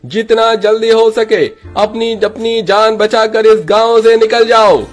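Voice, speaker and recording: A loud village crier, put on by a man, declaiming an urgent public warning in a high, raised voice. It is storybook acting with music behind. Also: fairly even intonation, unhurried. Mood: urgent, proclaiming.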